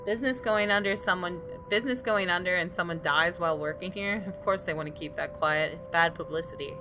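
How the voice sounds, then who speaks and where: Female game narrator: lowish register, expressive storytelling read, music bed underneath. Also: brisk. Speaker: gaming video host reading the story. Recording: music playing behind.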